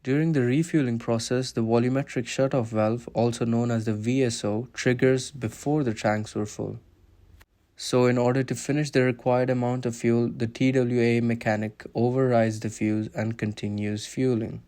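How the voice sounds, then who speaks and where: A man reads a scripted documentary narration. His voice sits at a medium pitch and moves very little up or down. The delivery is explanatory and orderly. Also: level tone, moderate pace, dark tone.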